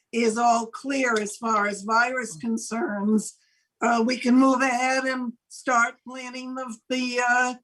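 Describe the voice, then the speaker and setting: An older woman co-hosting a casual talk show, speaking in a measured, halting way. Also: a little high, fairly bright tone, poor audio. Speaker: podcast co-host. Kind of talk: casual chat.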